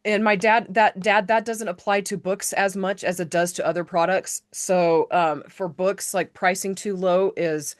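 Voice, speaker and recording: A woman hosting a casual live online training, chatty and rambling, correcting herself and filling pauses with "um". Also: lowish voice, fairly even intonation. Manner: chatty, rambling, self-correcting with many fillers. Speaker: host of a live author-business training.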